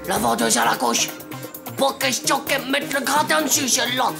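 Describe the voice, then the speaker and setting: High, creaky, panicky cartoon schoolboy. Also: female voice, moderate pace, over music. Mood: scheming, grumbling. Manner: excitable, whiny, dramatic, slangy. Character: cheeky, panicky schoolboy with a wild imagination. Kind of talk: French animated cartoon dialogue.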